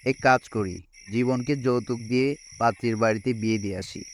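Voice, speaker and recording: A man's voice: medium pitch, fairly even intonation, normal speed, lightly acted. A man playing a teasing young friend chatting in a cartoon. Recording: faint noise with animal and insect sounds.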